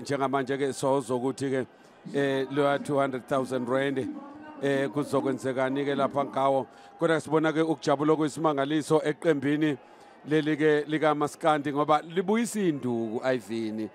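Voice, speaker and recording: A man's voice: mid-range voice, steady tone, normal speed. A man commenting on a match, speaking in Zulu with many hesitant fillers.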